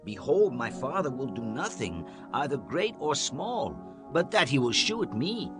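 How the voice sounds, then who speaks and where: A man's voice: mid-range voice, very animated, measured pace, over music. A man playing an earnest, pleading young man of ancient Israel in a dramatized Bible reading with archaic diction. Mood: earnest, reassuring. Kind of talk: dramatized scripture acting.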